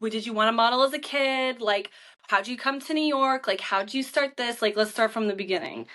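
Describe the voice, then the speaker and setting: A woman's voice: a little high, brisk, a bit bright. A young woman hosting a podcast in casual chat, bubbly and informal, peppering her talk with "like". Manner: bubbly, informal, heavy "like" filler. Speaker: podcast host, interviewer.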